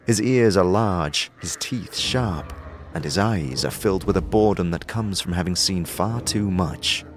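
A man narrating a scripted fantasy audio drama in the second person, with measured, atmospheric storytelling. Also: sits low.

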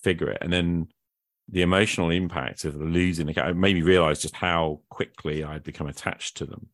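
A man's voice: sits low, steady tone, fairly quick, no echo. A man speaking as a podcast host in casual, reflective conversation, thinking aloud and often qualifying himself.

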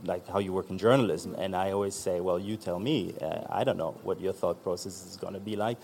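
A man's voice: deep voice, fairly even intonation, a little fast, creaky, darkish tone, slight background noise. A man, a magazine founder on a panel, talking loosely and searchingly with many fillers. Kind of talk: conversational panel talk.

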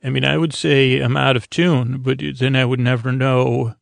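A man hosting a bedtime podcast in a creaky voice, rambling hesitantly, often repeating words and trailing off. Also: mid-range voice, flat delivery, normal speed, no echo. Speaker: podcast host. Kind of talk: rambling bedtime monologue to help listeners sleep.

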